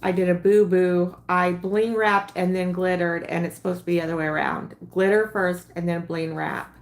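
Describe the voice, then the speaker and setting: A woman's voice: lowish voice, flat delivery, normal speed, roomy sound. A woman in her late forties hosting a casual crafting livestream, talking in a rambling, chatty way with frequent ums and giggles. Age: 40s.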